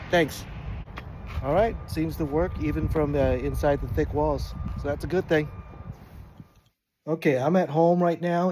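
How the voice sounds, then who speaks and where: A man's voice: fairly high pitch, darkish tone. A man giving a casual gear review in an informal, explanatory way, as a vlogging product reviewer.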